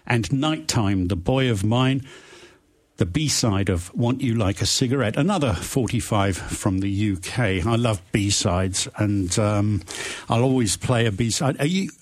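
A man hosting a radio show in a studio, enthusiastic and a little halting, with fillers and restarts. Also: on the low side, expressive. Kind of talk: radio interview. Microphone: studio microphone.

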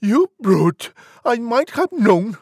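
A small, excitable little pig from a storybook. The voice leaps up very high and swings about, squealing with fright and pluck. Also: male voice, slow pace, breathy edge, no room sound, clean studio sound, exaggerated voice. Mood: indignant. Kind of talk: animated storybook character acting.

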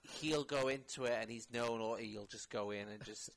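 A man's voice: mid-range voice, normal speed. A man talking casually as an actor guest in an interview, rambling with many fillers and restarts.

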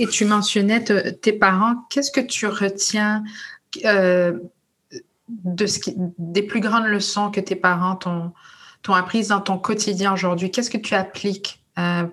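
A woman's voice: medium pitch, steady tone, a little slow, fairly bright tone. A woman guest-hosting an interview, asking questions and often restarting her phrasing. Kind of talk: interview conversation.

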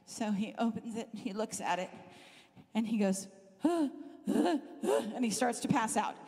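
A middle-aged woman with a Southern Californian accent softened by years in the UK, preaching as a guest speaker and telling a funny story about herself. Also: on the high side, normal speed. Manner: animated, self-deprecating, laughs often. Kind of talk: humorous storytelling sermon. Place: church hall. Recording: hall reverb from a live church talk.

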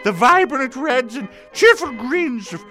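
A man's voice: extremely high pitch, very animated, a little slow, pushed and bright, soft background music, heavily acted. A man voicing a flamboyant homemaker chef with a secretly fierce streak, theatrical and exclamatory, in a parody radio cooking show. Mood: manic, cheery.

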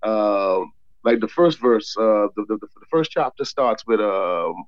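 A man from the Bahamas, a guest author, chatting casually in an interview, often repeating words as he tells animated stories. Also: fairly low pitch, unhurried, no echo, lo-fi sound.

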